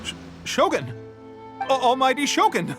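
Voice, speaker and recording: A woman's voice: high voice, huge pitch swings, normal speed, music in the background. Stammering, nervous woman clerk. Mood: nervous, awed. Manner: stammering, hesitant, apologetic. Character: nervous female publishing-house clerk, awed and deferential. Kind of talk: video game character acting.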